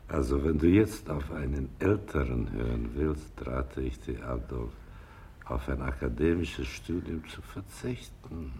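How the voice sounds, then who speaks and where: An actor in a radio play playing a wry, patient, philosophical old man with a gravelly voice and a dry, musing, unhurried delivery. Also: a bass voice, dark tone, a little hiss or hum. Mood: dry, teasing. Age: older. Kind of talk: 1980s German radio play acting.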